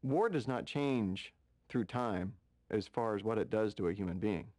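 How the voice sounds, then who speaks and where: A man speaking gravely and deliberately in a reflective documentary interview. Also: fairly low pitch, lively intonation, a little mellow, dry recording, clean studio sound.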